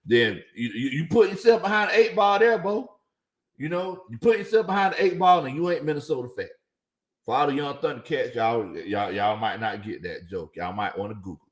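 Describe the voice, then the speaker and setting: A man's voice: medium pitch, animated, fairly quick. A man hosting a sports livestream, reading viewer chats in a casual way and laughing often. His voice sounds a little worn out. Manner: laughs often, chuckling through his lines. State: voice slightly worn out. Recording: echoey room.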